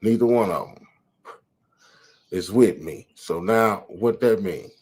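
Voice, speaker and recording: A man's voice: fairly low pitch, slow pace, darkish tone, slightly rough. A man hosting his channel, rambling through casual commentary on clips with lots of fillers. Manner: rambling, drawling, fillers like "man" and "you know". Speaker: channel host reacting to clips.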